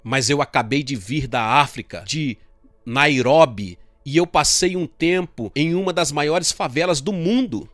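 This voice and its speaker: A man's voice: fairly high pitch, measured pace, a bit bright, no room sound. A man with a Brazilian accent reading a dubbed sermon as a first-person testimony.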